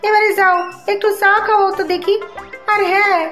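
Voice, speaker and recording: Cartoon mother-in-law female actor, middle-aged, fussy, East Bengali accent, extremely high pitch. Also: level tone, fairly quick. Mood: softening, pleased. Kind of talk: animated family cartoon dialogue. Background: soft background music.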